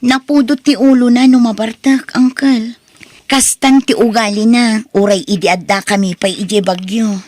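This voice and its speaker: Casting: actress for worn, plaintive village wife, Ilocano accent, radio drama, dry recording. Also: female voice, fairly high pitch, steady tone, normal speed, a little mellow, no echo, close to an everyday voice. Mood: worried, plaintive. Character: long-suffering wife of a drinking man. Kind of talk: amateur Ilocano radio-style drama acting.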